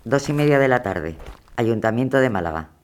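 A man's voice: mid-range voice, animated, moderate pace, noisy background, lightly acted. A man as a terse narrator setting scenes in a scripted historical audio drama. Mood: announcing. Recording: loud music behind.

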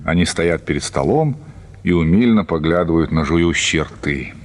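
A male storyteller narrates a radio drama in a low voice. His scripted literary reading moves with a very varied, lively intonation. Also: normal speed.

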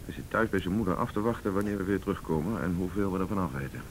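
A persuasive senior detective from a radio play, with a low voice and a Netherlands Dutch accent. He talks quickly and insistently, over faint noise. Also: lively intonation, low-quality recording. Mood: speculating. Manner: insistent, reasoning aloud. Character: senior male police detective, determined and persuasive. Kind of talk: naturalistic radio drama dialogue.

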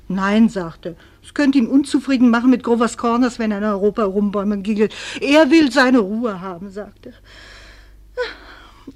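High-voiced wistful housewife played by an actress. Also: female voice, natural voice. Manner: confiding, hesitant, expressive. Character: wistful, hesitant small-town housewife and dreamer. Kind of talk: German radio play acting.

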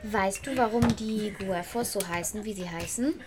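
A woman's voice: medium pitch, moderate pace, noisy background, natural voice. Woman playing an earnest young girl over music. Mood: eager, playful. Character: earnest young girl narrating her own story. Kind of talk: radio drama narration and dialogue.

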